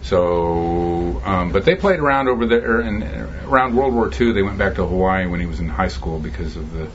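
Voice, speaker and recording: A man's voice: low voice, moderate pace, highs cut off, background noise. A middle-aged man from Texas, a guest musician telling rambling stories in a casual interview. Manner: rambling, chatty, lively recollections.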